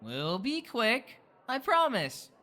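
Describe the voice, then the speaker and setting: A man playing an anxious, gentle teenage boy cat in an audio drama, fretful and earnest. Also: very high voice, huge pitch swings, takes it slowly, exaggerated voice. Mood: soft, relieved.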